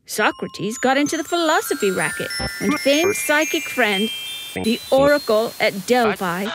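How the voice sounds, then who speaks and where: A woman narrates a comic tale with wry theatrical flair. Her voice is high and very lively, and loud music plays behind it. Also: a little slow, rough recording.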